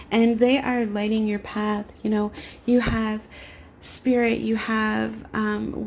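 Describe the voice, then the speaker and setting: Raspy young woman reading tarot cards. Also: fairly high pitch, slight background noise. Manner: soft, meandering spiritual talk with many fillers. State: getting over a cold. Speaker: tarot card reader. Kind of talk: pick-a-card tarot reading to camera.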